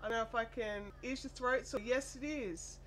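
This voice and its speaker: An Australian woman hosting a casual craft live stream, chatty and apologetic, her voice made deeper and nasal by a chest cold and sore throat. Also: moderate pace, fairly bright tone. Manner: chatty, apologetic, reads viewer comments aloud. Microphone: desk microphone. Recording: faint air conditioner hum.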